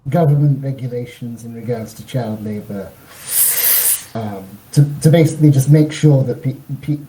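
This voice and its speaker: A man's voice: mid-range voice, warm tone. A man hosting a conversational debate, hesitant and often stammering over repeated words. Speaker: debate host. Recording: noisy room sound.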